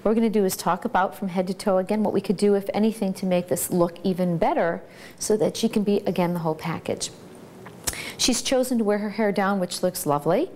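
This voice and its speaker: A middle-aged woman, a pageant coach and judge, giving measured, encouraging advice in an instructional video. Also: mid-range voice, darkish tone, slight background noise.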